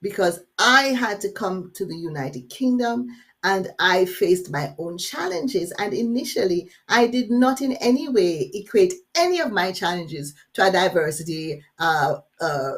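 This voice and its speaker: Energetic Jamaican woman leading a workshop. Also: medium pitch, a little slow. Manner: energetic, engaging, addresses audience directly with questions. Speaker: workshop facilitator. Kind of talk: live webinar workshop presentation.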